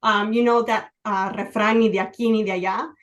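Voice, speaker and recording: A woman in her forties from Chicago, a native Spanish speaker, telling personal stories as a podcast guest in an earnest, halting way with many fillers. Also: mid-range voice, fairly even intonation, fairly bright tone, highs cut off. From Chicago, USA. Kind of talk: casual interview, personal storytelling.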